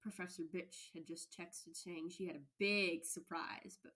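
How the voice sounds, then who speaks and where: A woman reading fan fiction aloud as expressive story narration. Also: on the low side, measured pace. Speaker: fan fiction podfic reader.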